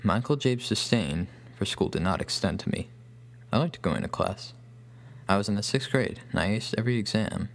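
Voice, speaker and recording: An actor playing a solemn, bookish loner recalling his boyhood, narrating a scripted horror story with an American accent and grave, measured phrasing. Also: lowish voice, lively intonation, gravelly. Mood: wistful. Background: guitar music.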